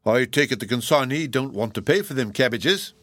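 Casting: man to play a sly, stubborn freight agent, grumbling brogue, mid-pitched. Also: expressive, brisk, a bit bright, no echo. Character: blustering Irish express agent, stubborn and sly.

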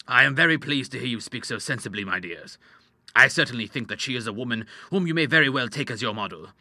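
Audiobook role: fussy, courteous middle-aged father acted by a man, sharp bright voice, very dry sound. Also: medium pitch, lively intonation, quick pace, no room sound, heavily acted. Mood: genial, approving. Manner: proper, courteous, exclamatory when vexed. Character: genial, fussy middle-aged doctor and father, easily ruffled. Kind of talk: audiobook character voice.

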